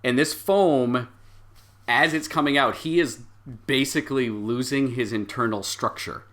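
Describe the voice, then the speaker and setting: Male game master, sharp bright tone, improvised tabletop narration, halting delivery. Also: medium pitch.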